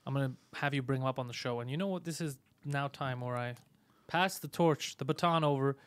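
A man whose first language is Greek, hosting a podcast in a casual, crude chat, loud, sarcastic and mocking, swearing often. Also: mid-range voice, fairly quick, clean tone, no room sound. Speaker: podcast host.

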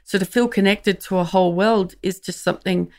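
A woman's voice: mid-range voice, steady tone. A woman comedian speaking frankly and wryly as an interview guest, often restarting her sentences. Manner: frank, wry, with halting restarts. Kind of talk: reflective interview talk.

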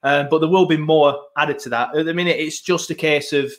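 Male host, highish voice, rambling chatty style, laptop recording. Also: steady tone, fairly quick, fairly bright tone. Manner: chatty, rambling with many ums. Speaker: podcast host. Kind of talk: casual football podcast chat. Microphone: laptop setup, video podcast.

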